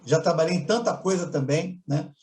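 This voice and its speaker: Relaxed Carioca man, slightly high voice. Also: moderate pace. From Rio de Janeiro, Brazil. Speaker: panelist, customer and digital director. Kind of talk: online panel talk. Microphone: video call.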